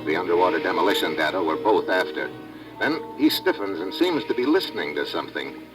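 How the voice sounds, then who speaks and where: A hard-boiled, wary undercover diver from an old radio adventure. The voice is very deep and the delivery terse and colloquial. Music plays behind the vintage recording. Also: male voice, lively intonation, brisk, low-quality recording. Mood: grim narration. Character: tough, wary man working undercover as a sailor-diver. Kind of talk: vintage radio drama acting with first-person narration.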